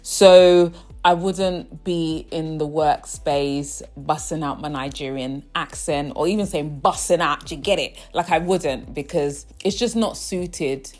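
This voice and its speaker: A woman's voice: fairly low pitch, darkish tone. A young woman talking casually in her own vlog, chatty and often checking in with the viewer. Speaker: vlogger host. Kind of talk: casual personal vlog monologue.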